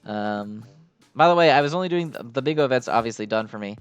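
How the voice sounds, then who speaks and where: A man's voice: medium pitch, animated, fast talker, smooth, soft background music. A man giving casual, unscripted gameplay commentary in a rambling, informal, self-deprecating way. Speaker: gaming video host.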